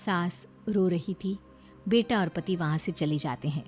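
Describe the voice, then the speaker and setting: A woman's voice: on the low side, a little slow, a little mellow, faint music behind. A woman storyteller reading scripted narration for a moral story.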